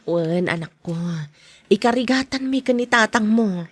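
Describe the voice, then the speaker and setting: A woman acts out a caring wife and mother in a podcast drama. Her voice sits fairly high with a husky, breathy grain. She talks as someone anxious about money, and the sound is close and dry. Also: lively intonation, moderate pace, dry recording. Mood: reassuring.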